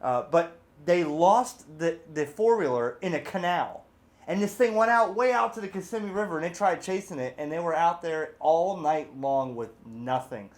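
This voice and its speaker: A man hosting a casual, off-the-cuff product review, chatty and informal, with animated, emphatic asides. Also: on the high side, moderate pace. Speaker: video host, radio hobbyist reviewer.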